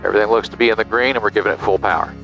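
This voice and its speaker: A man's voice: fairly low pitch, steady tone, very fast, gravelly, fairly bright tone, soft background music, poor audio. A middle-aged American man speaking casually as a pilot and vlogger into a headset mic in a small airplane cockpit. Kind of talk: casual cockpit vlog and radio calls. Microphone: aviation headset boom mic.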